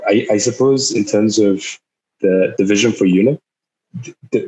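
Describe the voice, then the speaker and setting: Low-voiced halting young man on a video call. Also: mellow, rough recording. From Singapore. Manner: halting, many fillers and repeated words. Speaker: startup founder giving a talk. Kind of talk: online talk over a video call.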